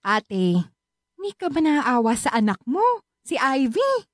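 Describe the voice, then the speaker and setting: An actress playing a protective, indignant aunt in a Filipino radio drama, pleading and reproachful. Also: female voice, high-pitched, wildly swooping pitch, unhurried, dry recording, clean studio sound, exaggerated voice. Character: concerned aunt, indignant younger sister, protective.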